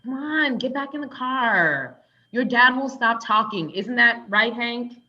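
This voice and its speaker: A woman's voice: a little high, animated, measured pace. A woman playing a candid, placating character in a comedic table read, speaking conversationally, on a slightly dull recording.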